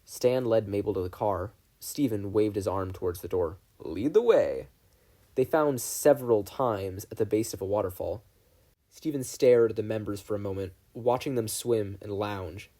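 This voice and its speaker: A man reading a scripted story as narrator, with a slightly husky voice. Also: on the low side, normal speed, dark tone. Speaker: fan fiction podfic reader.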